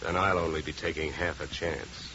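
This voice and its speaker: An American actor playing a tough, wry private investigator in a 1950s radio drama, with a dry, controlled, sardonic delivery. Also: male voice, very deep voice, steady tone, moderate pace. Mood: shrewd, firm. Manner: hard-boiled, dry, controlled. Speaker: lead actor and first-person narrator. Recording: muffled, noisy 1950 radio recording.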